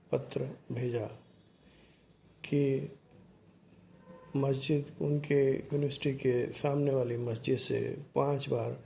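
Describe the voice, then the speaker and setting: Slow-spoken, mellow man explaining over noise. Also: medium pitch, creaky. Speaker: commentary video narrator. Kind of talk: explanatory social commentary addressed to viewers.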